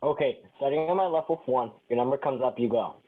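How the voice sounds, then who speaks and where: A man's voice: medium pitch, fast talker, husky, phone-quality sound. A man reading a character's dialogue casually at a script table read.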